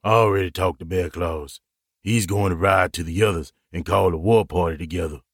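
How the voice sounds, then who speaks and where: A man's voice: low-pitched, steady tone, a little fast, dry recording, studio quality, pitched below the performer's own voice. A man voicing an insistent, plain-spoken frontier companion in an Old West audiobook. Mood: matter-of-fact. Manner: matter-of-fact, insistent.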